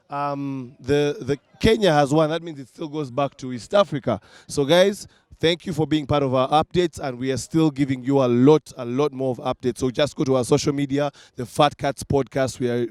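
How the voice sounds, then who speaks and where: Male podcast host from Uganda, smooth and dry, easygoing chat. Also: mid-range voice, darkish tone, dry recording. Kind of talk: casual panel chat.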